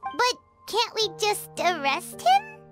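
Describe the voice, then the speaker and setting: Squeaky, bright, excitable childlike fairy. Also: female voice, takes it slowly, faint music behind, heavily acted. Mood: concerned. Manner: refers to self in third person, exclaiming. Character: small childlike floating fairy companion, excitable and nervous. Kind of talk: anime video game voice acting.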